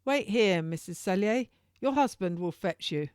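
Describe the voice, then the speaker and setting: Woman voicing an urgent young male turnkey, pitched high. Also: lively intonation, measured pace, a little mellow, clean tone, dry recording, studio quality, close to an everyday voice. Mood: earnest, official. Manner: emphatic, archaic formal speech. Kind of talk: audiobook character dialogue.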